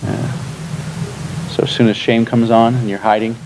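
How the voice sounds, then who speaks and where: A man in his thirties, a pastor, leading a relaxed classroom discussion with lots of fillers, recorded in a church classroom by a room camera. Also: mid-range voice, normal speed, noisy background. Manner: relaxed, chatty, frequent fillers and laughs. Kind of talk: casual teaching discussion.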